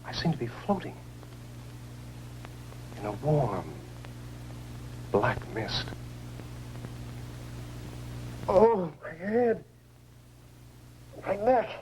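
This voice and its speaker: A shaken astronaut in a 1950s science fiction movie. His voice sits fairly high and moves freely up and down as he speaks in a dazed, dramatic manner. The old soundtrack has a faint noisy hum. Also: sing-song, slow, pauses often, darkish tone. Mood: dazed, pained. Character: dazed astronaut, shaken survivor of a crash landing.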